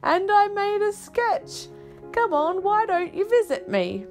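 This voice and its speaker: A woman's voice: very high-pitched, sing-song, slow, soft background music, pitched above the performer's own voice, heavily acted. A woman voicing an exuberant, boyish old male artist in a storybook, with hearty, emphatic delivery. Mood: jovial, inviting. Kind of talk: storybook character voice.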